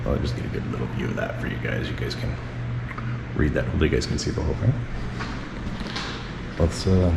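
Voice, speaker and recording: Male vlogger, very deep, low-key walk-through narration, echoey with loud road noise. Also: fast talker, vocal fry, pauses often. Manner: low-key, hushed indoors, filler-heavy. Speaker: vlogger filming a public building. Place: outside and inside a public library.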